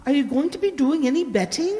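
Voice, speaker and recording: A woman acts out a feisty landlady in a radio comedy. Her voice is extremely high and swings animatedly. It rings in a reverberant hall. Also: very animated, fairly quick, mellow. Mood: chatty, wistful. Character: feisty Irish landlady fond of horses. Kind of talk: old-time radio comedy acting. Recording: echoey hall recording.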